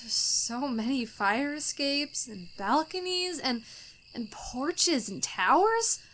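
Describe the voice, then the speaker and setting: Casting: actress as naive, romantic bride, high genteel voice, fluttery hesitations, radio melodrama, faint noise behind. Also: female voice, expressive, takes it slowly, a bit bright, full character voice. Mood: hesitant wonder. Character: naive romantic new bride, wistful, increasingly anxious. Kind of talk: old-fashioned radio melodrama acting, with diary narration.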